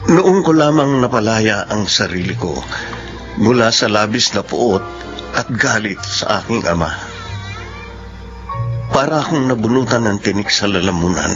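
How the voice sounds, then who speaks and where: Earnest Filipino testimony, music underneath. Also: male voice, fairly low pitch, animated, measured pace, pauses often, lo-fi sound. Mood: reflective, earnest. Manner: reflective, earnest. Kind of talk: Filipino radio drama testimony narration.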